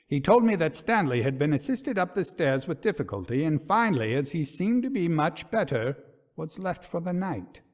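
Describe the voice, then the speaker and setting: A man voicing a grave colonel who recounts a ghost story in a formal, deliberate, suspenseful way. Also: fairly high pitch, very animated, voice pushed up. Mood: grave, uneasy. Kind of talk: dramatic reading of an old ghost story.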